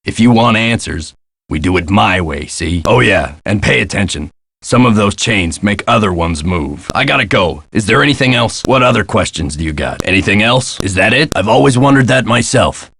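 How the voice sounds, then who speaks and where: Deep-voiced gruff castle caretaker. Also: male voice, lively intonation, normal speed, fairly bright tone, no echo, heavily acted. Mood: secretive. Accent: American. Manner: folksy, clipped, gruff. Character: gruff world-weary male castle caretaker, guarded about his past. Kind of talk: video game voice acting.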